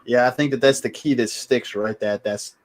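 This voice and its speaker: A man's voice: medium pitch, normal speed. A man hosting a podcast, chatting casually about football with his guests as friends. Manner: relaxed, talks to the guests as friends. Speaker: podcast host. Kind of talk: casual sports chat.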